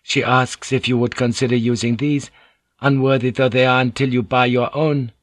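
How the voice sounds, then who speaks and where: A man voicing a polite, composed Japanese woman interpreter in an audiobook story, speaking in a measured, formal and deferential way. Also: mid-range voice, flat delivery, dry recording, pitched above the performer's own voice, close to an everyday voice. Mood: formal, explaining.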